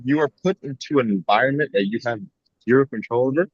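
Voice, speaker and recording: A man's voice: medium pitch, fast, dry recording, dull audio. A young man co-hosting a podcast, talking in a casual, rambling and reflective way with frequent "like" fillers. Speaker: podcast co-host.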